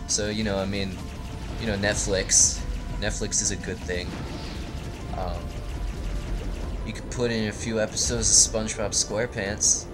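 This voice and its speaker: A man hosting a video game let's play, giving rambling, joking commentary in a deadpan, tongue-in-cheek, meandering way. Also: lowish voice, a little slow, pauses often, over music.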